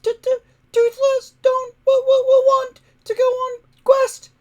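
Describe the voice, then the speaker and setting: A man's voice: monotone, very slow, a little mellow, dull audio, heavily acted. A man voicing a sulky, timid little storybook dragon that whines and stammers in broken speech. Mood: grumpy whining. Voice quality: stammering, squeaky.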